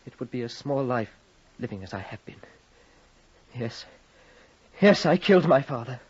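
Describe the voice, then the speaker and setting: A man's voice: mid-range voice, animated, normal speed, darkish tone, natural voice. An actor playing a meek, polite young man in a 1950s radio drama, subdued and deferential. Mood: resigned. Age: young adult. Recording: very noisy, muffled old radio recording.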